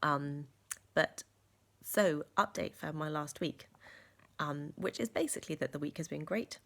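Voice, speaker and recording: A woman, croaky from a sore chest, talking earnestly in a reflective vlog with many ums and restarts. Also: low voice, a little slow, a bit bright. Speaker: vlogger.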